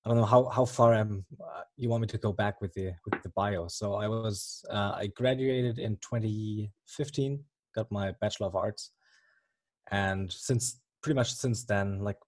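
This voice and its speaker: A young German man speaks in a lowish voice with a German accent, pausing often and restarting his sentences. The sound is dry and close, with no room around it. He comes across as a thoughtful, unhurried guest. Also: dry recording. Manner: hesitant, many ums and restarts. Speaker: comic artist guest. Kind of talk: casual interview answers.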